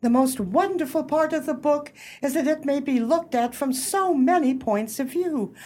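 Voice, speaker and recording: Actress as a pompous, high-voiced society hostess. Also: female voice, normal speed, darkish tone, close to an everyday voice. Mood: lofty. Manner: formal, pompous, precise. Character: proud, bossy society hostess of a ladies' club. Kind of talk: stage-style radio play acting.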